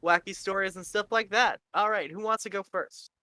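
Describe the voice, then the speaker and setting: A young man from Canada hosts a loose, casual chat with a high, bright voice that can pass for a woman's. He talks in a crisp, clear tone with a very dry, close sound. He rambles, swears freely and laughs easily. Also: no echo. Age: 20s. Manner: rambling and profane, quick to laugh. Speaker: podcast host.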